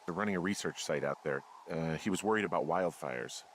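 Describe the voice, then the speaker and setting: Low-voiced, wry American lookout. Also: male voice, brisk, slight background noise. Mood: tense, suspicious. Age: middle-aged. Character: wry, wary middle-aged male fire lookout. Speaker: actor in a story video game. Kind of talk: naturalistic game dialogue. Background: game music.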